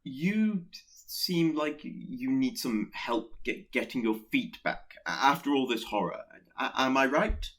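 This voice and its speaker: A man's voice: mid-range voice, expressive, unhurried, hardly any pauses, fairly bright tone. An actor in a radio play playing a nervous, awkward Pestilence who hesitates and stammers as he secretly admires catastrophe. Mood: nervous, evasive.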